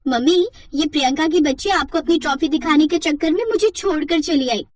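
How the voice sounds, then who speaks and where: A woman's voice: sits high, fairly quick, no room sound, clean studio sound, pitched above the performer's own voice, lightly acted. A woman voicing a spiteful, jealous teenage stepsister with a whiny, petty manner in a cartoon moral story. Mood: complaining.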